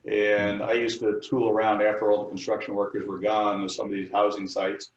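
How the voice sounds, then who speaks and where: A middle-aged man from the New York area, an architect answering interview questions in a halting way, full of fillers and restarts. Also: fairly low pitch, completely flat delivery. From New York area, United States. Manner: hesitant, many fillers and restarts. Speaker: architect, firm co-founder. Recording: distant mic, dull roomy sound.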